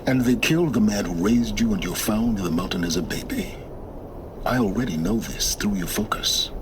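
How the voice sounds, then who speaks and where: A man's voice: on the low side, lightly acted. A man playing a cold, aloof scholarly mentor in a game cutscene, speaking in a clipped, condescending way. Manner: condescending, clipped, slow deliberate. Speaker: game character. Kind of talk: video game cutscene acting. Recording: game audio with clear background noise.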